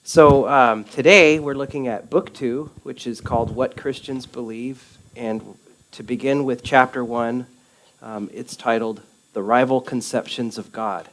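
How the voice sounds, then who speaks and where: A soft-spoken man leading a church study group, gently asking questions and affirming the answers. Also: medium pitch, steady tone, unhurried, poor audio. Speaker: Bible study leader. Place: church meeting room.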